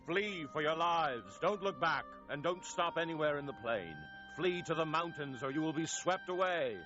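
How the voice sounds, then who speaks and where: A man's voice: fairly high pitch, animated, normal speed, muffled recording, over music, lightly acted. A man playing a grave, commanding angel in a Bible audio drama. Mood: urgent. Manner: grave, imperative. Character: commanding male angel, urgent messenger of doom.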